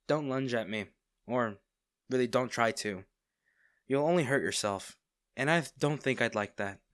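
A smug villain man, acted by a man in an intimate audio drama. He has a mid-pitched voice and lingers over coy, teasing pauses, hiding his fondness. The sound is dry and close. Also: fairly bright tone, dry recording. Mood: mock-chiding. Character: smug, teasing villain man, secretly fond of captive hero. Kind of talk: intimate scripted audio roleplay.

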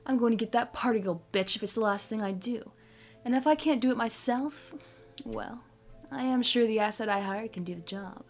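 An actress playing a jailed crime boss, vengeful and taunting, in an audio drama. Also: female voice, fairly high pitch, soft background music. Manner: taunting, deliberate.

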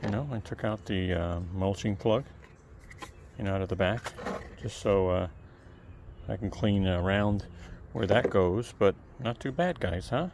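A man with a soft, calm voice chatting casually to viewers while cleaning something outdoors on a lawn, filmed on a close-held camera. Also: fairly low pitch, a little slow, noisy background. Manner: chatty, rambling asides to the viewer. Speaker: video creator. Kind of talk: casual how-to talk while working. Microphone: camera held close, point of view.

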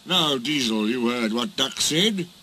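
A man's voice: mid-range voice, expressive, measured pace, sharp tone, slight background noise. A man voicing a stern but fair railway controller in a children's storybook, formal and authoritative. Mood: firm.

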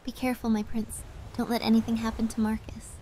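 A woman playing a gentle, devoted young witch princess with a sweet, pleading manner in an audio drama. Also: a little high, completely flat delivery, fast talker, a little hiss or hum, close to an everyday voice, rough recording. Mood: tender. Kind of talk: audio drama roleplay acting.

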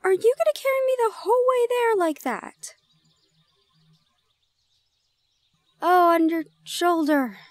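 A woman's voice: extremely high pitch, big pitch swings, moderate pace, dry recording. A woman playing a young gorgon in an audio roleplay, shy and flustered but playfully blunt. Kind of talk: roleplay audio drama acting.

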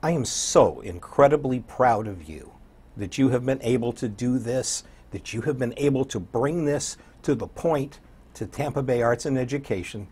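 Older american guy, raspy and hoarse, talking like a radio dj being interviewed. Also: medium pitch, animated, normal speed, darkish tone. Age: 60s or older. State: hoarse, getting over an illness. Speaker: community radio program director and morning DJ, guest. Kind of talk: conversational interview. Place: small indoor TV studio.

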